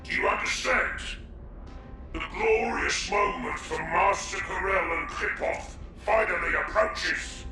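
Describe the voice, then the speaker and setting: A man's voice: high-pitched, vocal fry, full character voice. A man voicing a pompous, hot-tempered alien military commander in a video game, bombastically barking orders. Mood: grandiose, commanding. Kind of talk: video game villain acting. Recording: cavernous echo, distant, under loud music.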